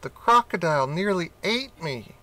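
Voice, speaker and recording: A man's voice: a little high, lively intonation, normal speed, sharp tone, pitched above the performer's own voice. A man voicing a small, timid baby rabbit in a raised, plaintive voice.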